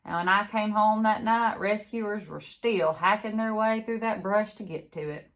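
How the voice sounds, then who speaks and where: A woman's voice: medium pitch, normal speed, pitched above the performer's own voice, natural voice. A woman voicing a talkative, folksy local man in a storybook who recounts things in a grimly matter-of-fact way.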